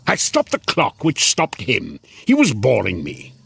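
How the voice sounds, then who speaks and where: A man's voice: mid-range voice, huge pitch swings, a little slow, vocal fry, fairly bright tone, a little hiss or hum, heavily acted. An actor playing a devilish, smug tempter who stops time and toys with his victims in a sardonic, menacing radio drama style. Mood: taunting menace.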